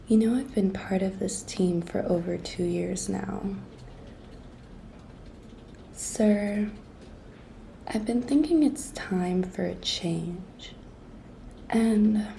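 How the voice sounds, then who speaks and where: A woman acts out a coy, pushy young female intern in an ASMR roleplay. She whispers softly and slowly, with a creaky edge, coaxing and teasing her boss. Her tone is very mellow and intimate. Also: mid-range voice, slight background noise. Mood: tentative, persuasive. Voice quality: breathy whisper, soft-spoken.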